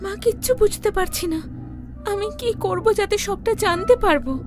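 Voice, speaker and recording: A woman playing a frightened young newlywed bride in an animated horror story, anxious and pleading. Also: very high-pitched, normal speed. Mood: worried. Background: loud music.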